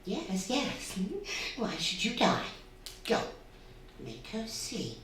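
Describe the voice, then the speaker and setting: A woman playing a sinister, taunting undead female spirit in a radio drama, with a coaxing, theatrical, drawn-out delivery. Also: medium pitch, big pitch swings, a bit bright, exaggerated voice. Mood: taunting, urging. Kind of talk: stage radio drama acting. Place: theatre stage before a live audience. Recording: very echoey hall, distant, faint noise.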